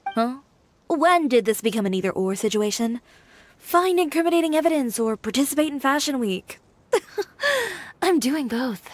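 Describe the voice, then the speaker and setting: A woman's voice: high-pitched, sing-song, moderate pace, no echo. A woman playing a determined young fashion designer in a video game, composed, assertive and dryly self-assured. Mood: cool, determined. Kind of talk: video game dialogue voice acting. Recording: music behind the voice.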